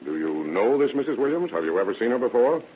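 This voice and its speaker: An actor playing a confident, dogged sheriff in an old radio drama, firm and matter-of-fact. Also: male voice, mid-range voice, big pitch swings, fast talker, breathy edge. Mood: confident, brisk. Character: confident, dogged male sheriff, brisk and authoritative. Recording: tinny narrow-band vintage radio recording.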